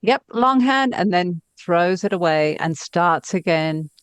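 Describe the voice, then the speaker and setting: A woman's voice: on the low side, lively intonation, slow, clean tone, no room sound. A woman in her fifties chatting casually as an interview guest, effusive and self-deprecating about her own work as a novelist. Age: 50s.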